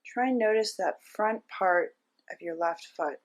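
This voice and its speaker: A woman narrating a guided meditation as a teacher, giving gentle step-by-step instructions with frequent pauses. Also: mid-range voice.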